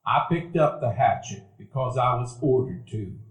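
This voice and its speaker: A man narrating a stage radio play as a grown man recalling his boyhood, in a measured, reflective telling. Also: medium pitch, animated, lightly acted. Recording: roomy event hall.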